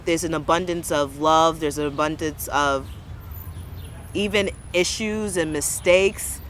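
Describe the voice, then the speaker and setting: A woman's voice: low-pitched, a bit bright. A young woman from Brooklyn, speaking earnestly and passionately about her community in a personal interview, repeating words for emphasis. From Brooklyn, New York, USA. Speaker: interviewee, local business owner. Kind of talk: personal reflective testimony. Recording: street recording with traffic noise.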